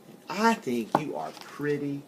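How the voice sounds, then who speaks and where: Deep, kindly woodcarver voiced by a man. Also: very animated, noisy background. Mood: gentle reassurance. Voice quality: deep, strong. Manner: thoughtful, unhurried, reassuring. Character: kindly big old bearded woodcarver, gentle maker. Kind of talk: storybook character reading.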